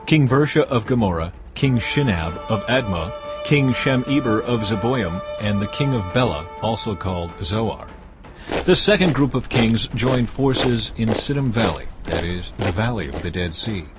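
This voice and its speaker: A man giving a scripted, dramatized narration of scripture as the narrator. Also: fairly low pitch. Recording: loud music bed behind the voice.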